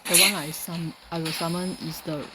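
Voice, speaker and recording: A woman giving casual gameplay commentary, thinking aloud in a halting way. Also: fairly low pitch, fairly even intonation, heavy background noise. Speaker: gamer playing and commenting. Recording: voice over loud game music. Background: loud game music.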